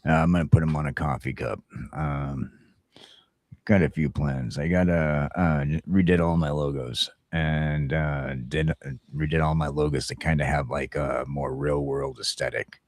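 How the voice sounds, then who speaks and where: A man's voice: a bass voice, steady tone, a little slow, darkish tone, dry recording, studio quality. A man hosting a casual morning talk show, chatting in a joking, self-deprecating way.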